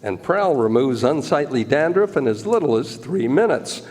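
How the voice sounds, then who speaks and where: A man's voice: medium pitch, sing-song, moderate pace, a little mellow. Man announcing in a reverberant hall. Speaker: announcer and master of ceremonies. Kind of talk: 1940s radio announcing. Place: live show in a hall. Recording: reverberant hall, live show.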